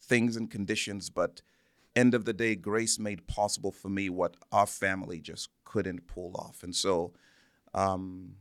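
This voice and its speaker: A man's voice: low voice, level tone, measured pace, no echo, clean studio sound. A man from Zambia telling personal stories as an interview guest, speaking earnestly and repeating words for emphasis. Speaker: interview guest, church minister. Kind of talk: conversational interview, personal storytelling.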